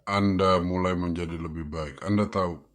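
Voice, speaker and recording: Man, stroke survivor, deep voice, halting, lots of "uh", dry close sound. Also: fairly even intonation, a bit bright, no echo. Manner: halting, leans on fillers. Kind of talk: personal testimony.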